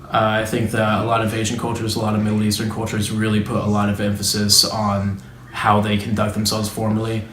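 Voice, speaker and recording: Young man, low voice, monotone delivery, casual hedging answers, roomy echo. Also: brisk, slight background noise. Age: young adult. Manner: relaxed, hedging, many fillers and restarts. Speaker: student interviewee. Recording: echoey room.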